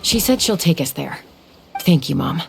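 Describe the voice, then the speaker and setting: A woman's voice: low voice, lively intonation, moderate pace, gravelly, heavy background noise, exaggerated voice. An actress playing a serious young woman guardian in a video game, speaking in an earnest, grave way. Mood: grave, focused.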